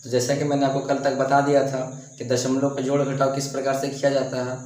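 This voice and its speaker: Young guy teaching math w a sore throat, talking soft, coughs n repeats himself, roomy echo. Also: medium pitch, monotone, a little fast. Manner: repeats and restarts himself, coughs now and then. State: sore throat, speaking softly. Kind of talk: explaining math on a board. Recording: echoey room.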